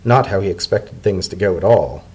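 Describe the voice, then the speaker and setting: A man reads fiction aloud as a dramatic narrator. His voice is low and mellow with a husky grain, delivering scripted prose. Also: animated, moderate pace, rough recording. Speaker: narrator, story reader.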